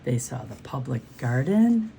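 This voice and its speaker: A woman's voice: a bass voice, sing-song, unhurried, creaky, mellow, a little hiss or hum. A woman reading a children's storybook aloud as host, encouraging and turning to her young listeners with questions.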